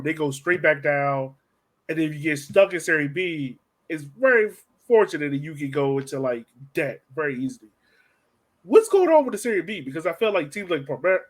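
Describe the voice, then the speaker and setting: A man hosting a casual sports podcast, putting questions to a guest. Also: on the high side. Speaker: podcast host.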